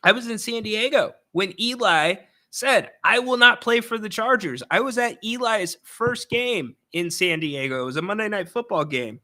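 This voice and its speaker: A young man hosting a sports talk show, chatting casually, his voice a little raspy from being unwell. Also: high voice, moderate pace, hardly any pauses, sharp tone. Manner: loose, chummy, says dude a lot.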